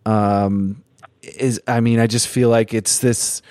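A man's voice: on the low side, little pitch movement, slow pace, a little mellow, no room sound, studio quality. A man hosting a podcast interview, talking casually and building long, thoughtful points. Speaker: podcast host.

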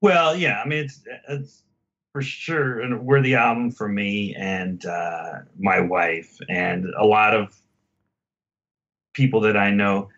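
A man with a fairly low voice talks casually as a podcast guest about an album. He halts and rephrases as he works toward his point. The recording is a little dull and lo-fi. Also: a bit bright. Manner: halting, circling back to rephrase.

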